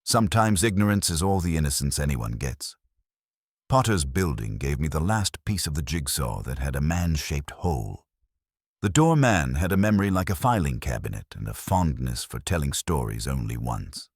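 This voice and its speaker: A man's voice: a bass voice, sing-song, normal speed, breathy edge, dry recording, clean studio sound. A man narrating a first-person detective story in a wry, hard-boiled way. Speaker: audiobook narrator.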